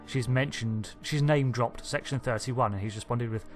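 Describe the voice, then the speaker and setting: A man with a nasal, croaky voice and a sore throat, chatting unscripted as he reviews a trailer and thinks aloud with little asides. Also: medium pitch, moderate pace, soft background music. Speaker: video host reviewing a trailer. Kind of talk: unscripted casual commentary.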